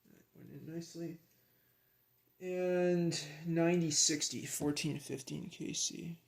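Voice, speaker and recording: A man with a creaky voice talking casually and haltingly, half to himself, as he narrates a hands-on hobby task. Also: fairly high pitch, steady tone. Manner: halting, muttering to himself while handling parts. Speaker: hobbyist presenter. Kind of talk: casual hands-on vlog narration while working.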